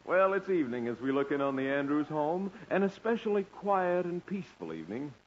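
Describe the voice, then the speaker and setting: Animated vintage radio announcer, male. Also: fairly high pitch. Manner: theatrical, deliberate emphasis. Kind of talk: 1940s radio announcing, scripted narration. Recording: muffled, hissy vintage radio recording.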